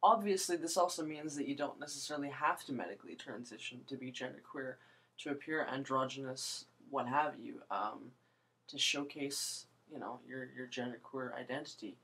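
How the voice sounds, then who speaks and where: A young woman with a low voice, rambling hesitantly through a casual video monologue with many fillers and restarts. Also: normal speed, fairly bright tone, slightly rough, rough recording. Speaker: vlogger.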